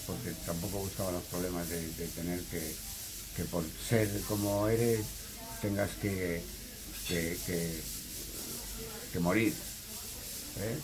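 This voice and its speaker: A man giving spontaneous family testimony, haltingly, repeating words as he searches for them. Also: low-pitched, background noise.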